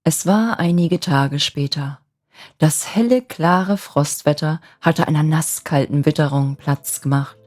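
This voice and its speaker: A woman with a soft, gentle voice narrating a fairy tale as an expressive bedtime story. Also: deep voice, unhurried.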